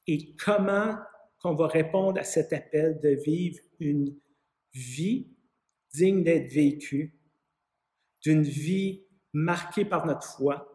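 A man in his fifties with a Quebec French accent, giving a sermon as a pastor in a measured, conversational way with some hesitant phrasing. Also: sits high, a little mellow. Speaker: parish pastor. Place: small vaulted room with an arched window, indoors. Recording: echoey room.

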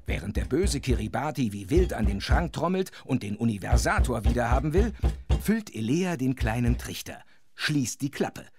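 A man narrating a children's audio story in a dramatic, scripted style with a husky voice. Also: mid-range voice, very animated, moderate pace, noisy background.

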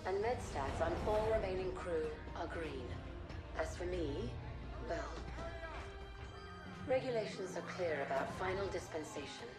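A composed, gently wry ship computer in a cinematic game. She speaks in a lowish, measured voice with formal diction. The sound is echoey, with music strong behind her. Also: expressive. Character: composed, wry, dutiful female ship AI bidding farewell. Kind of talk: cinematic game cutscene acting.

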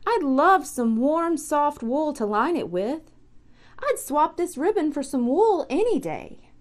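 A polite, wistful crow from a children's storybook, voiced by a woman. The high voice moves expressively, stressing what it longs for. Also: sing-song, takes it slowly, heavily acted. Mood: longing. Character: polite crow longing for comfort.

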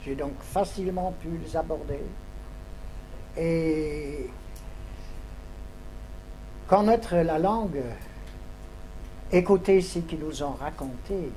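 An elderly man from Poland, a native Yiddish speaker, giving spoken testimony to a school audience in an emphatic, deliberate way. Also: fairly high pitch. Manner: emphatic, deliberate storytelling with dramatic stresses. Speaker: Holocaust survivor giving testimony. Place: indoors, classroom. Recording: noisy room recording with hum.

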